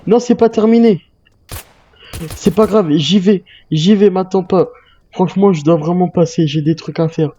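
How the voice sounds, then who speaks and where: Slightly muffled game chat carries a man's fairly high, soft-toned voice. He role-plays in character and tends to repeat short phrases insistently. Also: normal speed. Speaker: role-play gamer. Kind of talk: improvised in-character online game role-play.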